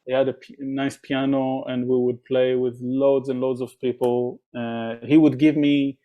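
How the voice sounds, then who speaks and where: A man's voice: medium pitch, little pitch movement, unhurried, dull audio. A man from Israel, a drummer, telling stories in a casual interview, with many fillers and restarts. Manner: rambling, many fillers and restarts, acts out quoted voices.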